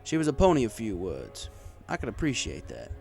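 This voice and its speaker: Male audiobook narrator, low pitch, animated delivery, first-person bartender storytelling over music. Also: brisk, gravelly. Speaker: audiobook reader.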